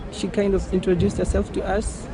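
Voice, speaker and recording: High, flat-voiced young man. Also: normal speed, crowd noise. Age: 20s. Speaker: youth coordinator. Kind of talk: documentary interview. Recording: outdoor street noise with passing traffic.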